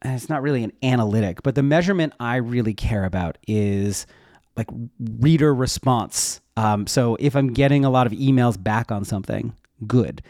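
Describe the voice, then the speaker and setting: A man gives an informal, explanatory answer as a guest in an interview. His pitch sits in the middle for a man. The sound is dry, with very little room around the voice. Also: moderate pace, a little mellow, dry recording. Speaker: interview guest, web developer and educator. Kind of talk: casual explanatory interview talk.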